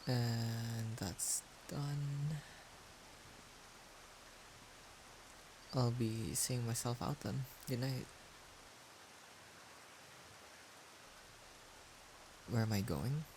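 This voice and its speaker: A man playing a caring, shy young friend in an intimate audio roleplay, soft-spoken and hesitant as he gently reassures the listener. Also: medium pitch, takes it slowly. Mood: casual, teasing. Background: rain-like noise.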